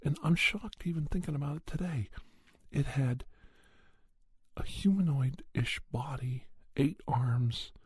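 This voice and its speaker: A man telling a spooky first-person story in a soft, breathy ASMR style, often near a whisper, in deliberate short phrases with pauses. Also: mid-range voice, no echo. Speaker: podcast storyteller.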